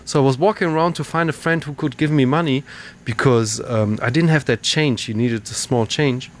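A man's voice: mid-range voice, normal speed, slight background noise. Male presenter, middle register, loose conversational radio links. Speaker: radio show host. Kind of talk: casual, unscripted presenting.

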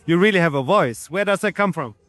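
Male reporter, high register, informal interview questions, very little room sound. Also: expressive, fast talker, a bit bright, dry recording, faint music behind. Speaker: radio interviewer. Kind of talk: casual on-location interview.

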